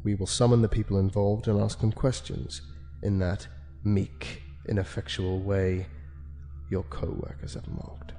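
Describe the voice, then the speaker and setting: A man plays a senior official of a shadowy ethics committee in an audio drama. The voice is fairly low and very bright, calm but menacing, with deliberate, condescending authority. Music plays clearly behind it. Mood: cool, ominous. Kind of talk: dramatic scripted monologue for an audio log.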